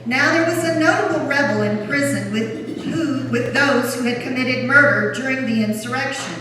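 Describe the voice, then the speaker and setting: A woman's voice: sits high, moderate pace. A woman narrator giving a solemn, formal dramatic reading of scripture. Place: large church during a service. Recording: echoey church hall, distant, faint noise.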